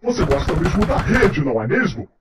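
A man's voice: a little high, big pitch swings, a little fast, roomy sound, recorded from a distance, heavy background noise. A man voicing a cranky, impatient older newspaper boss, barking demands in a fan dub of a video game. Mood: demanding. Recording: very loud music behind.

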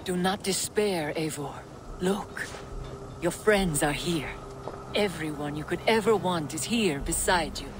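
An older woman playing a gentle, resigned old seeress in a video game, with a soothing, measured delivery. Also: lowish voice, noisy background, close to an everyday voice. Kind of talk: dramatic video game acting.

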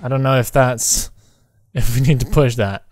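A man hosts a casual gameplay session with a partner, speaking at a middle pitch. He works out what to do out loud, in short and halting phrases. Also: dry recording. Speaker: gaming video host, player.

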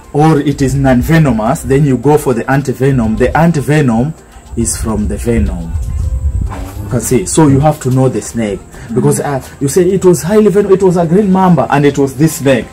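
Young male tour guide, Kenyan accent, mellow tone, explains patiently with repetition, faint music behind. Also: mid-range voice, moderate pace. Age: young adult. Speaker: snake park guide. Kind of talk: informal guided tour talk. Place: open-sided snake park shelter with mesh walls.

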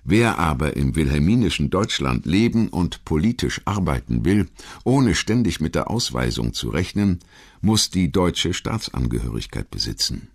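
A man reading scripted biographical narration as a documentary narrator, in a measured, articulate manner. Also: extremely low pitch, sing-song, creaky.

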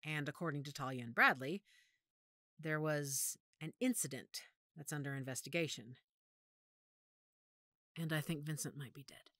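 A woman playing a commanding, calculating werewolf pack mother in an audio drama, deliberate and decisive. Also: deep voice, bright, forceful tone, dry recording. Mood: grim, reasoning.

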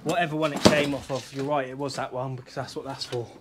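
A man's voice: mid-range voice, fairly even intonation, fast talker, very noisy. A young man working as an electrician, chatting casually with his workmate as he works, calling him mate over and over. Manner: matey, says "mate" a lot, talks while working. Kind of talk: casual work chat. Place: electrical cupboard at a stadium, indoors by an open door.

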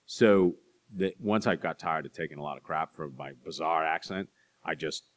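A man's voice: very deep voice, normal speed. Man telling stories at a library event. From Boston area. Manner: animated storyteller, acts out voices in anecdotes, self-deprecating. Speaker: author speaking to an audience. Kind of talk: storytelling talk and audience Q&A. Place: library stage in front of a curtain.

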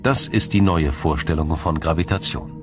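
Male narrator, low register, measured and formal documentary delivery, light music bed underneath. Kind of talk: scripted science documentary narration.

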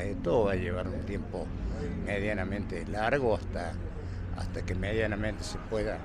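A retired man in his sixties with an Argentine accent, answering a street interview with halting restarts and fillers. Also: lowish voice, background noise. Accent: Córdoba Argentine Spanish. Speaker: retired provincial employee. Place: city street outdoors.

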